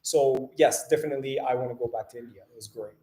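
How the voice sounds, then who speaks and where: A man's voice: medium pitch, fairly even intonation, quick pace, dark tone. Chatty French Canadian man, echoey room. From French-speaking Canada. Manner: chatty, lots of "like" and restarts. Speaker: travel vlogger, co-host. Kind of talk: casual live hangout chat.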